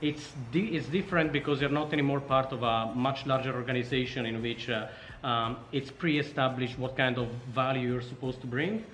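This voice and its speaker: A man's voice: medium pitch, steady tone, a little fast, few pauses, a bit bright. Echoey Italian-accented man telling his story. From Sardinia, Italy. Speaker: startup co-founder, interview guest. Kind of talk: casual interview storytelling. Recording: echoey room, faint background noise.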